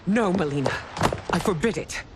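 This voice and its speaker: A woman performs a grieving empress and protective mother from a fighting game. Her voice is highly animated, imperious and full of feeling, with clear background noise around it. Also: normal speed, lightly acted. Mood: alarmed, protective. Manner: imperious, emotional. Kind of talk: dramatic video game cutscene acting. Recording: noisy ambience behind the dialogue.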